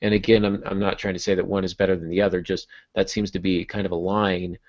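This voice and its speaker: A man hosting a podcast, explaining things conversationally in a halting way, as if thinking aloud. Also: sits low, little pitch movement, no echo. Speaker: podcast host. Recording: dull lo-fi podcast audio.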